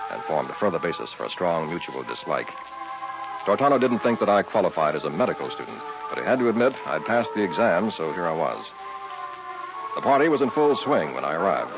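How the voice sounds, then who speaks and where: An actor playing a troubled young medical student in a vintage radio horror drama, brooding and dramatic. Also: male voice, sits low, very animated, a little fast, gravelly, heavily acted. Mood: haunted, brooding. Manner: brooding, dramatic first-person telling. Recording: dull vintage radio recording, music behind.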